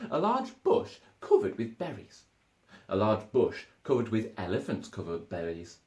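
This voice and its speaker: Male storyteller, thirties, lively swooping intonation, expressive children's narration, roomy indoor sound. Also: mid-range voice. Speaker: storybook reader. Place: small living room, indoors. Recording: echoey living room.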